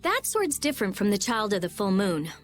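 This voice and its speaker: A woman's voice: medium pitch, sing-song, a little fast, faint music behind, natural voice. An actress playing a brusque, impatient teenage girl genius in a video game, blunt and snappy in delivery. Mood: intent. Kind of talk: animated video game acting.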